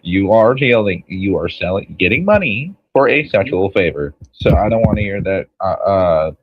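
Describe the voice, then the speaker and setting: A man's voice: deep voice, lively intonation, normal speed, phone-quality sound. A man in his thirties on a podcast, blunt and opinionated, cursing freely. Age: 30s. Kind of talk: casual podcast chat.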